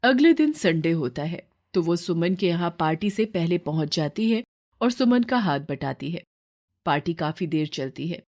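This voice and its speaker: A woman's voice: on the low side, expressive, moderate pace, darkish tone. A woman narrator reading a scripted moral story.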